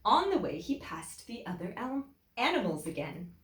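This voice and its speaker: A woman teacher reading a children's picture book aloud in an expressive, deliberate way, with emphatic phrasing for young listeners. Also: mid-range voice. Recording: echoey room.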